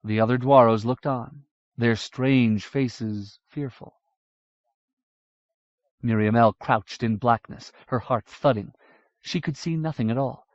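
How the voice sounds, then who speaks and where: A male audiobook narrator at a mid pitch, reading with measured, even pacing. The recording is dry and slightly dull, as if from an older studio master. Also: dry recording. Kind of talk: scripted fantasy narration.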